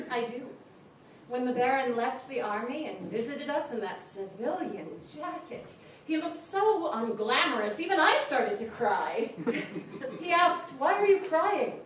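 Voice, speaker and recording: A woman's voice: high voice, expressive, measured pace, a bit bright, a little hiss or hum. An actress playing a sensible older sister who soothes and advises, in a motherly stage delivery. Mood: advising. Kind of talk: stage play acting. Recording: echoey stage recording from far off.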